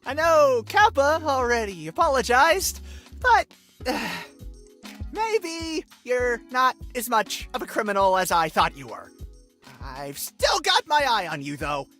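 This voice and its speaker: A woman's voice: fairly high pitch, sing-song, takes it slowly, fairly bright tone, faint music behind. A woman voicing a brash, suspicious police officer who is grudgingly apologetic, in a visual novel. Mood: gruff, grudging. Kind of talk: acting out visual novel dialogue on a stream.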